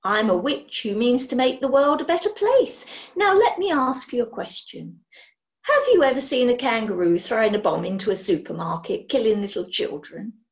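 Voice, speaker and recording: Voiced by a woman, this witch is brisk, eccentric and easily offended. Her high voice swoops about wildly. She gushes theatrically and climbs into squeaks when outraged. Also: sing-song. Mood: lecturing.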